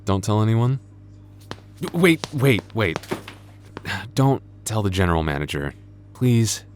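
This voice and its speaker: A man's voice: fairly low pitch, very animated, slow, faint music behind. A man acting a flustered young vampire store clerk in an audio roleplay, stammering nervously and awkwardly. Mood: nervous bluffing, then panicked pleading. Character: flustered young male vampire working convenience store night shift. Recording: faint background noise.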